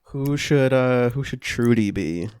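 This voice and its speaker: A young adult podcast co-host chatting casually and improvising with friends, informal and quick to swear. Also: mid-range voice, expressive, darkish tone, clear, resonant tone, dry recording, studio quality. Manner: profane, informal.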